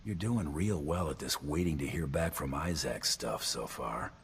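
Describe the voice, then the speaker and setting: A man voicing a cocky, deadpan bounty hunter in a video game, dry and self-assured. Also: extremely low pitch, moderate pace, slight background noise. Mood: dry, wry.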